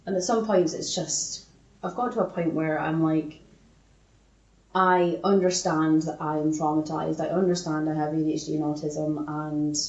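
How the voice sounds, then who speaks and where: A woman's voice: deep voice, darkish tone. A woman co-hosting a podcast in candid, casual conversation. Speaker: podcast co-host. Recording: echoey room, dull muffled sound.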